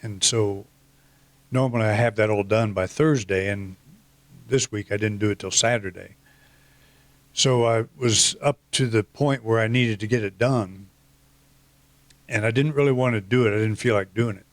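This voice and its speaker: A middle-aged man with a slight croak from a cold gives a reflective, meandering spiritual talk. His voice sits a little low and is recorded dry and close, with no room sound. He pauses often, fills gaps, and restarts his sentences. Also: no echo. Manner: reflective, rambling, with many fillers and restarts. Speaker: minister giving a talk.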